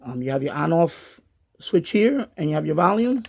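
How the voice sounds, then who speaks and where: A man giving a casual hands-on product review in a halting way, repeating himself as he goes. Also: on the high side, very animated, gravelly, a little mellow, slightly rough. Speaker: gadget reviewer and radio hobbyist. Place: basement of a house. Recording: dull lo-fi home video sound.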